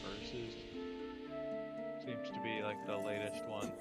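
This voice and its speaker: A man's voice: fairly low pitch, flat delivery, measured pace. A man chatting casually as a co-commentator on video gameplay. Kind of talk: casual chat over gameplay. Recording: very loud game music over voice.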